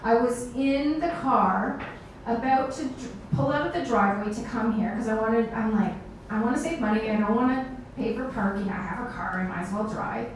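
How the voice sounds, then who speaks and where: A woman's voice: a little high, normal speed. A woman moderating a panel, telling casual personal anecdotes to a room. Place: indoor meetup event space with seated audience. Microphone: far camera. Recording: very echoey room, distant microphone, background noise.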